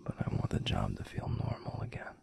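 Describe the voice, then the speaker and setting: A man voicing a gentle, protective alpha werewolf boyfriend in an intimate ASMR roleplay, murmuring slowly and haltingly in a breathy, gravelly near-whisper. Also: slight background noise, close to an everyday voice. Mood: tender, hesitant. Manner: slow, halting, soothing murmur with pauses.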